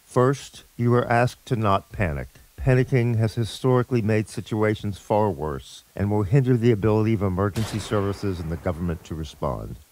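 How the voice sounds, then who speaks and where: A man playing a solemn government spokesman giving a grave, measured emergency address. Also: lowish voice, darkish tone, no echo, natural voice, low-quality recording.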